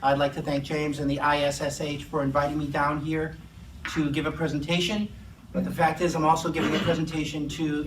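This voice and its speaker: A middle-aged man from Massachusetts with a Massachusetts accent, giving a lively, joking conference presentation to a live audience. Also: fairly high pitch. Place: conference room in an office. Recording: echoey room, distant mic, faint hum.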